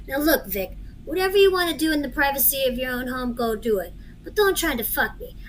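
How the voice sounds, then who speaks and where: A woman's voice: sits high, lively intonation, fairly quick, rough recording. A woman playing a brash, crude, cocksure gangster, the boss's grown-up child, in an amateur audio drama. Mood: mock outrage.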